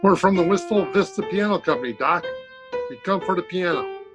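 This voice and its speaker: A man's voice: sits high, a little fast, lightly acted. A man playing a matter-of-fact working-class piano company mover in an old-time radio comedy. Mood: businesslike.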